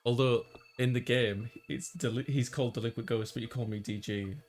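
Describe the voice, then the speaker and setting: A man's voice: mid-range voice, fairly even intonation, a little fast, a little mellow. A man hosting a let's play, chatting casually and reading a visual novel's lines aloud. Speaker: host, gamer reading player character lines.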